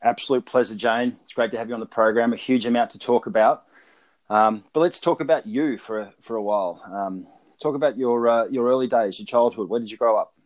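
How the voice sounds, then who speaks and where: A man's voice: mid-range voice, fairly quick. A man co-hosting a podcast, asking a guest chatty questions with occasional fillers. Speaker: podcast co-host, general manager. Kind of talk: casual interview hosting.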